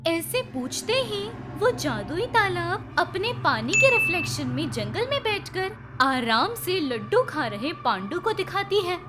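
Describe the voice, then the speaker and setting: A woman tells a children's story as its narrator. Her voice is very high and moves animatedly in pitch. Music plays clearly behind the scripted narration. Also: very animated, unhurried, hardly any pauses.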